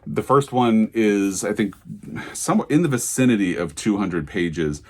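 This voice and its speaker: A man's voice: lowish voice, expressive, normal speed. A man giving a casual book review in a thoughtful, enthusiastic, discursive way.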